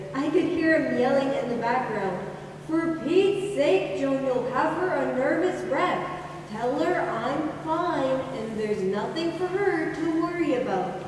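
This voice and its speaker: A woman's voice: high-pitched, darkish tone. Young woman acting wistful daughter, distant echoey hall. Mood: wistful recollection, then quoting parents. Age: teenager. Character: grown daughter recalling her dying father, wistful and tender. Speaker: high school drama performer. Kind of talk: rehearsed stage monologue. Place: large indoor hall at a school play. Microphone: distant camera. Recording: echoey hall from far off, faint noise.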